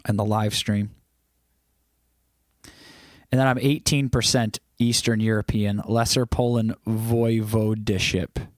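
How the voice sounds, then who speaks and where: A man's voice: lowish voice, fairly even intonation, slow pace, pauses often, dry recording, clean studio sound. A man hosting a casual livestream, chatting loosely and teasing his viewers as he reads their comments aloud. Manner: rambling with frequent restarts. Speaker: livestream host.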